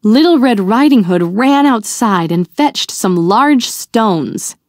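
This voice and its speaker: A woman narrates a fairy tale for small children. She reads slowly and expressively, with very lively pitch. The sound is dry. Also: no room sound. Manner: deliberate, expressive reading for young children. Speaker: children's storyteller.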